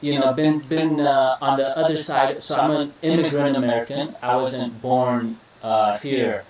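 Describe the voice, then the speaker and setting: A young man from Iraq hosting a podcast interview, relaxed and chatty, often repeating words and calling his guest "bro.". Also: medium pitch, measured pace, distant mic, crowd noise. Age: young adult. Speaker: podcast host. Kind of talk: casual interview chat. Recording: echoey room, voice a bit off-mic.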